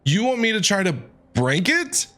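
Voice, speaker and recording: A man's voice: high-pitched, very animated, moderate pace, sharp tone. A man streaming a game, chatty and teasing, playfully reacting aloud with exaggerated commentary.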